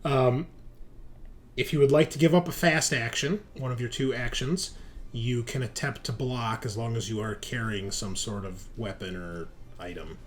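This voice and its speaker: Male game master, mid-pitched, talking through rules over background noise. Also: fairly even intonation, moderate pace. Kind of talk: explaining tabletop game rules. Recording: clearly noisy background.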